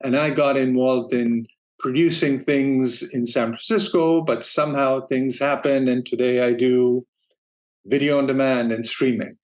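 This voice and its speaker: A man's voice: mid-range voice, a little slow. Danish-born man telling his career story. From Denmark. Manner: relaxed storytelling, laughs easily, frequent restarts. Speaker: guest, streaming operations manager. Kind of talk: casual interview talk.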